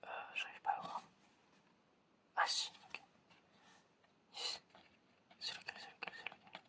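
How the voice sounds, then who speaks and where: Bright, whispering French-style ASMR examiner. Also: unhurried. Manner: whispers throughout, repeating words softly; casual, encouraging, informal phrasing. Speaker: ASMR creator playing an examiner. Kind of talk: ASMR roleplay, testing the listener's senses.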